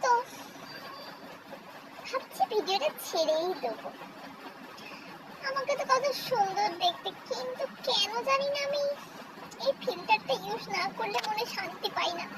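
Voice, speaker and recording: Squeaky, very high filtered woman's voice. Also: lively intonation, unhurried, long pauses, very noisy. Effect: high-pitched voice-changer filter. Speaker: vlogger. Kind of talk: casual chat to viewers.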